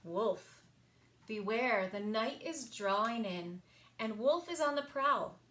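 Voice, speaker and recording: A woman reading picture books aloud for a children's story time, expressive and sing-song, with emphasized words. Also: a little high, slow pace. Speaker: library story time reader.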